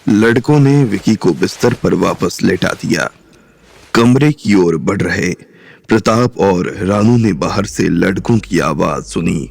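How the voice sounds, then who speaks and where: A man narrating a romantic crime audio drama in a measured storytelling manner. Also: deep voice, lively intonation, creaky, darkish tone, no room sound.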